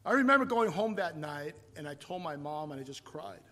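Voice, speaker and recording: A man in his fifties, from Taiwan, preaching a sermon and telling his own story, rising to loud emphatic points and falling back to quiet, reflective storytelling. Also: a little high, lively intonation, a little fast. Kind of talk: sermon with personal testimony.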